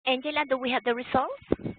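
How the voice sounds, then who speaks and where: High-voiced woman moderating a webinar. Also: steady tone, a little fast, slight background noise. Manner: polite, scripted. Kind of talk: scripted moderation. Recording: tinny phone line.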